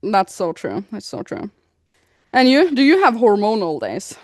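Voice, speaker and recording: A young woman co-hosting a podcast, chatting in an agreeable, lively way and laughing often. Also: medium pitch, unhurried, no echo, studio quality. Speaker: podcast co-host. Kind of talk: casual chat.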